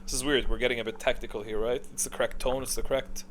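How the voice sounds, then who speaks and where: A man's voice: medium pitch, little pitch movement, rapid-fire, fairly bright tone, a little hiss or hum. A man giving casual, opinionated tier-list commentary as a gaming video creator, musing aloud and questioning himself as he goes.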